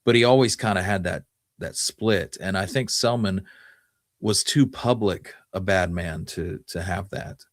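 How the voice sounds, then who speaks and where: A man with a notably low voice co-hosts a casual history talk. He speaks haltingly, working out his thoughts as he goes. The sound is dry, with hardly any room on it. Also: dry recording. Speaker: podcast co-host.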